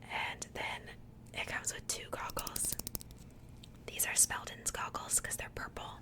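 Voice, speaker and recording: A woman speaking in a breathy, unvoiced whisper, chatting softly and informally in the style of an ASMR unboxing. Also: a little slow. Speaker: ASMR video creator.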